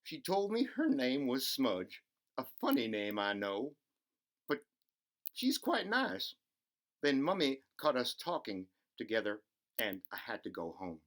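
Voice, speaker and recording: A man's voice: mid-range voice, sing-song, slow, pauses often, a bit bright. A man voicing a lonely, timid young boy from a picture book, earnest and wistful. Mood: shy wonder.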